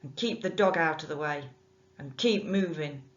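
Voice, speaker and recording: A woman voicing a gruff, bossy boatman barking orders, in a dull lo-fi recording. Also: sits low, moderate pace, lightly acted.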